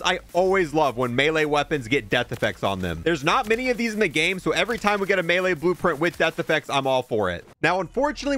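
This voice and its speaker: A man's voice: a little high, a bit bright. A man giving casual, conversational commentary as the host of a gaming review, thinking aloud as he goes. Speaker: gaming video host reviewing a cosmetic bundle. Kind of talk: casual video game commentary.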